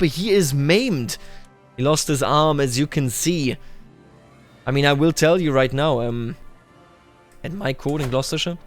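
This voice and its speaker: A man hosting a strategy game roleplay, commenting deliberately and musing aloud as he plays. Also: on the high side. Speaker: gaming video host. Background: background music.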